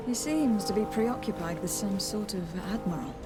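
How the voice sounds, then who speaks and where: An actress playing a self-assured, diplomatic young noblewoman in a video game, polite, measured and lightly teasing. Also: mid-range voice, animated, close to an everyday voice. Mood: polite concern. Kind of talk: dramatic video game acting. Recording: clear music behind the voice.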